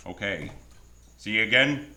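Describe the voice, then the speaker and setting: A man's voice: lowish voice, lively intonation, a little slow, sharp tone, full character voice. An actor with an American accent playing a tough, wisecracking hardboiled hero who tells his story in a noir radio drama, wry and terse. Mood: brisk gratitude. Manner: wry, terse, world-weary. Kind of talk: first-person noir radio drama acting.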